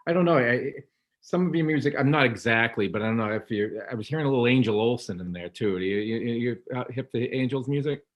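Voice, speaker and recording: A man's voice: mid-range voice, quick pace, highs cut off. A man hosting a casual video call interview, rambling with many stumbling restarts. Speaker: host.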